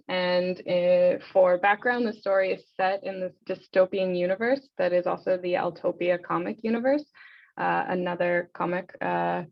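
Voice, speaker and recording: Female webinar moderator: gravelly fry, informal hesitant delivery, slightly lo-fi call sound. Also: fairly low pitch. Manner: halting, hesitant phrasing. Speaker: event host and moderator. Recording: slightly dull online call audio.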